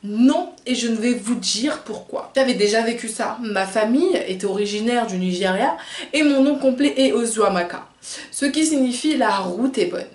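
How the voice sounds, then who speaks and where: A woman's voice: fairly high pitch, normal speed, a little mellow. A woman hosting a video, reading out prepared narration and quotations. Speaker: video host. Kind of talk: scripted narration with read-out quotes. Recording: roomy, echoing sound.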